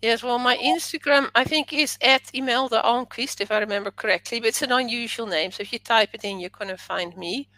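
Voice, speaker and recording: A middle-aged woman whose first language is Dutch talks as a podcast guest, her voice bright and fairly high. She is thoughtful and reflective, letting long sentences flow. The sound is dry and close. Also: a little fast, few pauses, dry recording, low-quality recording. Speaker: author and teacher, podcast guest. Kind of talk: conversational interview.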